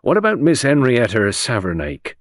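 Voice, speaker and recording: A man playing a shrewd police inspector in an audiobook, probing in a confidential, slightly gruff manner. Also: medium pitch, big pitch swings, moderate pace, dry recording, clean studio sound. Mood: sharp questioning. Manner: easy, confidential, probing, grunting at times. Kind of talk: audiobook character reading.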